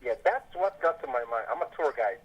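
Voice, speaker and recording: Over a muffled, lo-fi phone line, a man with a Belizean accent gives his opinion on a call-in show. His pitch is average, and his delivery is stern, emphatic and complaining. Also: expressive, a little fast, breathy edge. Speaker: radio caller, tour guide.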